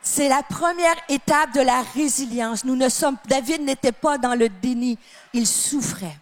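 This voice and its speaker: A Canadian woman with a Québécois accent preaching a sermon to a congregation, stressing phrases emphatically and then dropping to a soft, quiet tone. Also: high-pitched, soft background music. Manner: emphatic, drawn-out phrases with sudden drops to a soft tone. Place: church with live and online audience.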